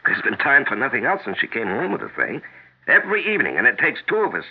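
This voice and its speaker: On a thin old radio recording, a genial, concerned husband. His gravelly voice swoops widely as he chats and gently probes. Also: medium pitch, huge pitch swings, fast talker, heavily acted. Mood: genial, wry. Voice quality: gravelly, husky. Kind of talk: 1970s radio drama acting. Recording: narrow-band 1970s radio broadcast.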